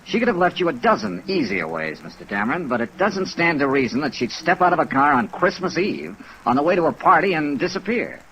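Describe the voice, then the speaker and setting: Old time radio detective, american, wry n probing, very animated pitch, clipped lines, bit of rain behind. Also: male voice, a little fast, hardly any pauses, poor audio. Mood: pressing, skeptical. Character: hardboiled male freelance insurance investigator, wry, probing, persistent. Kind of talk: 1950s radio detective drama acting. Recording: 1950s radio recording with rain noise. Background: steady rain sounds.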